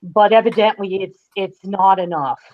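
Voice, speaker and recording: A woman's voice: medium pitch, normal speed. A woman speaking casually as a guest commentator, over a muffled connection. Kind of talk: casual chat.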